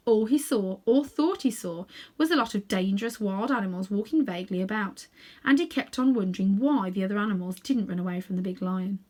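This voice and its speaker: A woman narrating a children's storybook aloud in an expressive reading style. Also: medium pitch, fairly quick. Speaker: storybook reader.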